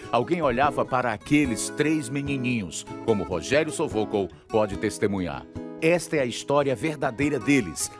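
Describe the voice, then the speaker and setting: A man with a Brazilian accent reading scripted dramatic narration as announcer of a radio drama series. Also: mid-range voice. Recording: acoustic guitar music behind the voice.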